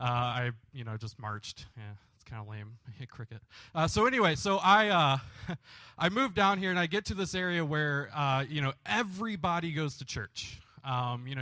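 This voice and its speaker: A man's voice: a little high, sharp tone, no echo. Self-deprecating man with a Michigan accent, fairly dry sound. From Michigan, USA. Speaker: pastor, guest speaker. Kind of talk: casual personal testimony to a church audience.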